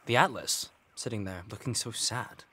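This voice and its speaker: An actor playing a sarcastic, gruff young male sailor in a period audio drama, teasing and begrudging. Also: fairly low pitch, moderate pace, pushed and bright. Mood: sardonic, mocking.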